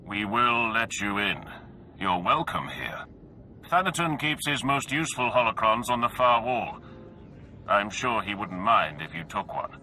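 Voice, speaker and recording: A sharp-voiced, dutiful guard. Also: male voice, lowish voice, lively intonation, unhurried, slight background noise, full character voice. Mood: entranced, compliant. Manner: formal, deferential. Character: dutiful male Imperial guard, deferential and pliable. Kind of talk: video game cutscene dialogue.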